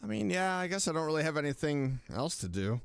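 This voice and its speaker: A man's voice: fairly high pitch, sing-song, fast, vocal fry, smooth, no echo. A man giving casual game commentary as a streamer, reacting aloud to the story.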